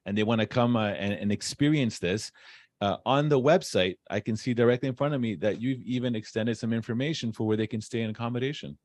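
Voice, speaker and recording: A man's voice: medium pitch, fast, a little mellow, dry recording. A man hosting a conversational interview, steering the questions and repeating words in agreement. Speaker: podcast host, interviewer.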